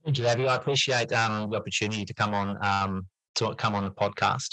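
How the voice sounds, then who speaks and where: A man with a low voice talks reflectively as a podcast guest. He is earnest, repeating and reworking phrases as he goes. The sound is dry, with very little room around it. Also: flat delivery, fast talker, sharp tone, no echo, rough recording. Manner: earnest, repeats and rephrases himself as he thinks. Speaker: podcast guest, teacher and writer. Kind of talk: reflective interview talk.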